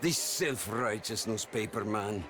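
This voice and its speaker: An actor playing a smug, contemptuous middle-aged Italian crime boss with a theatrical, mock-courteous manner, in a video game cinematic. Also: male voice, fairly low pitch, measured pace, close to an everyday voice. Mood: gleeful scorn.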